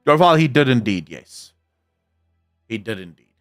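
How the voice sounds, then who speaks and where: A South African man with a soft accent talks casually to his stream, answering chat in a candid, blunt way and swearing now and then. Afrikaans is his first language, though his English comes out smooth, at an everyday middle pitch. Faint music plays behind him. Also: big pitch swings, normal speed. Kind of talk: casual live-stream chat, reading comments.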